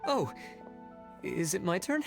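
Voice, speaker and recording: An actor playing an awkward, meticulous male bureaucrat in a fantasy video game, halting and nervous as he speaks. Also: high voice, big pitch swings, gravelly, soft background music, full character voice. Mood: unsure. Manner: halting, nervous, over-precise. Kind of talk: English video game voice acting.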